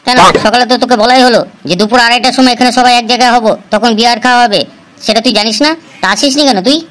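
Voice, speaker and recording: A woman's voice: a little high, steady tone, racing, natural voice. A woman voicing a cheeky young male village prankster in a comedy cartoon, with slangy, teasing banter. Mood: arguing.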